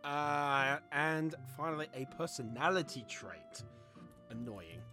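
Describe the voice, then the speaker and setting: British male game host, bright and animated, excitable playful banter. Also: a little high, slow. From Britain. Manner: excitable, giggly. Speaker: host of an online word game. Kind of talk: casual playful banter and comic reading aloud. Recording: light music bed behind voice. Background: light music.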